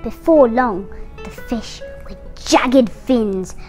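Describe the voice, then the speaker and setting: A woman's voice: fairly high pitch, slow pace, darkish tone. An eight-year-old girl reading a picture book aloud with careful, dramatic phrasing, as a young storyteller. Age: child, eight years old. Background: instrumental music.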